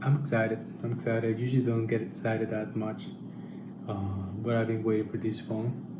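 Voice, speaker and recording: A man presenting a comic unboxing video in a casual vlog style, with a halting, understated delivery and drawn-out phrases. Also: on the low side, completely flat delivery. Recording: roomy echo, loud background noise.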